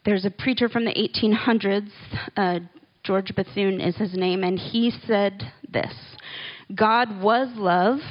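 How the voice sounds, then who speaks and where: Slow, unhurried woman teaching scripture. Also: mid-range voice, highs cut off. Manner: unhurried and conversational, with little laughs and asides. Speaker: Bible teacher. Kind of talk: relaxed church teaching talk.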